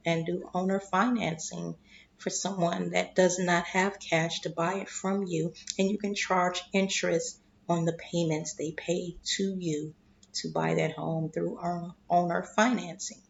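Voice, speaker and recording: A woman with a lowish, gravelly voice talks very slowly through an explainer, her voice fading and hoarse. The recording sounds dull and lo-fi. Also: level tone, slight background noise. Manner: slow, deliberate, repeats "okay?" to check in. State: losing her voice, clearing throat. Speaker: investing vlogger. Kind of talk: casual explanatory monologue.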